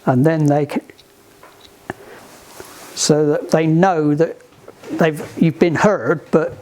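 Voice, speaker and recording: A man giving informal, practical instruction to a group, halting and often restarting his phrases. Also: fairly high pitch, fairly even intonation, darkish tone, slight background noise. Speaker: instructor at a sailing club radio session. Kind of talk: informal instructional talk with questions.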